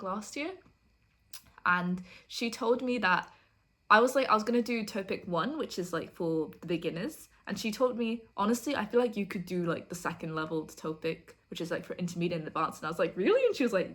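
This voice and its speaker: A woman's voice: medium pitch, animated, a little fast, fairly bright tone. A young woman from the UK, a university student vlogging in a casual get-to-know-me chat, chatty and giggly. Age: young adult. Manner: chatty, giggly, self-deprecating.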